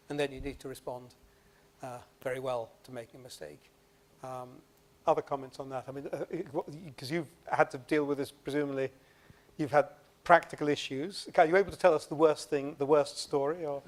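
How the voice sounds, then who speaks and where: Guy chairing a panel in a packed room, measured, keeps it moving, mid pitch. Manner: brief affirming interjections, measured. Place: packed conference room at an institute.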